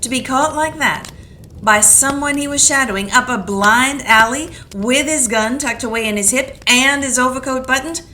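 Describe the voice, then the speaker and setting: A woman's voice: high voice, moderate pace, sharp tone, a little hiss or hum. A woman voicing a hard-boiled female private detective with a clipped, blunt manner, in a community radio play. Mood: accusing.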